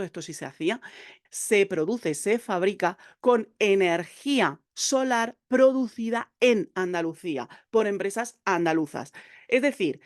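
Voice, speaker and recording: A woman gives a video lesson on brand voice with a medium-pitched voice. She talks straight to the viewer in a lively, chatty way, full of small fillers. The sound is close and dry. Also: no echo. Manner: lively, addresses viewer directly, many fillers. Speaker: copywriting teacher.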